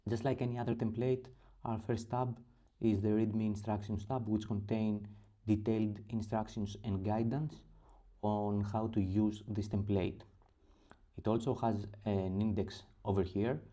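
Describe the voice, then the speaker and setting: A man with a Greek accent talks through a spreadsheet tutorial in a very dark, low voice. He speaks English as a second language, pausing often between short phrases with plenty of fillers. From Greece. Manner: halting, with many pauses and fillers. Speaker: template creator. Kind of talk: screen-recorded software tutorial.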